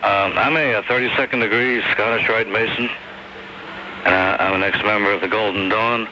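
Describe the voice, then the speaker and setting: A man phoning in to a radio show, speaking in a halting way. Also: fairly low pitch, fairly even intonation, sharp tone. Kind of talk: halting phone-in talk. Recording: dull noisy phone call over shortwave radio.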